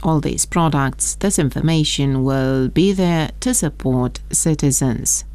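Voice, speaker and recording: A woman's voice: low-pitched, measured pace, a little mellow, no room sound. A woman reading a scripted radio news report as a reporter.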